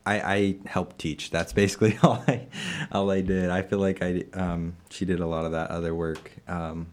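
Very deep-voiced young man, halting. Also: level tone. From Illinois, USA. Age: 20s. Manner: halting, many fillers and restarts, laughs often. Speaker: interviewed staff member. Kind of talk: casual interview.

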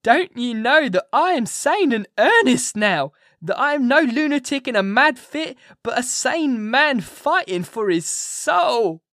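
A reader voicing a frantic male asylum inmate who begs desperately, in drawn-out, imploring cries. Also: high-pitched, big pitch swings, fairly bright tone, no echo, clean studio sound, pitched above the performer's own voice, full character voice. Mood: desperate pleading.

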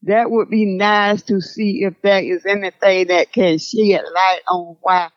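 A woman's voice: medium pitch, steady tone, a little slow, dry recording, lightly acted. A woman playing a troubled older grandmother, reflective and formal, in an audio drama with slightly muffled sound. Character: troubled grandmother recalling her mother's death.

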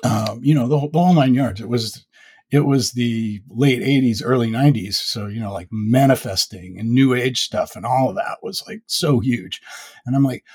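A man's voice: mid-range voice, moderate pace, darkish tone, husky. A man in his fifties, a podcaster being interviewed, talking reflectively and rambling with many fillers. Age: 50s. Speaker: podcast host and guest. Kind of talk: casual interview conversation.

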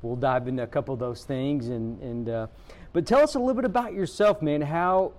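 A man's voice: fairly high pitch, brisk, darkish tone. Man, podcast host, casual interview, friendly. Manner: friendly, says "man" often.

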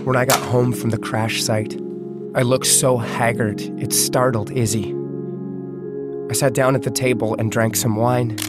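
An actor playing a shaken, brooding husband who lives through a Martian invasion, in audio theatre. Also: male voice, medium pitch, little pitch movement, a little slow, loud music behind the voice, lightly acted. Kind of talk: dramatic audio theatre narration and dialogue.

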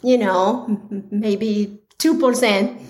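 A woman hosting her own podcast, talking earnestly with a slightly raspy, hoarse voice, pausing and restarting often. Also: mid-range voice, steady tone, a little mellow. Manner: slow, earnest, with pauses and restarts. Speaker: podcast host. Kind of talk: casual solo podcast talk.

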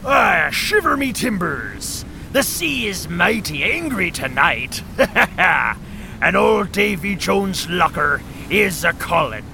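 A man doing a gravelly old pirate captain. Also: high-pitched, sing-song, slow, background noise, heavily acted. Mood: ominous. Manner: bellowing, hammy. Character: gruff old sea-dog pirate captain.

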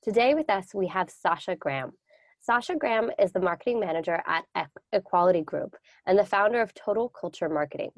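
A woman's voice: medium pitch, animated, brisk, no room sound, clean studio sound. A woman hosting a podcast, upbeat and polished as she welcomes and interviews a guest. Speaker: podcast host.

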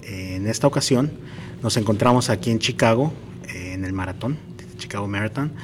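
A man's voice: lowish voice, a little fast, slight background noise. Hesitant man hosting a podcast interview. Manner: hesitant, many fillers. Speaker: podcast host. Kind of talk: casual interview. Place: outdoors at a marathon event.

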